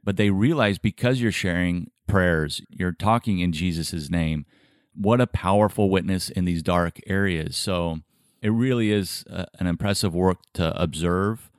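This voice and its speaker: Deep-voiced admiring interview host. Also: male voice, no room sound, clean studio sound. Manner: admiring, measured. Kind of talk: interview questions.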